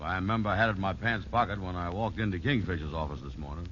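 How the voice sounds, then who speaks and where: A slow-witted, gullible blusterer from a vintage radio comedy. His voice is on the low side but forceful. The dated recording is dull and noisy. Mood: naive, explaining. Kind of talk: old-time radio sitcom acting.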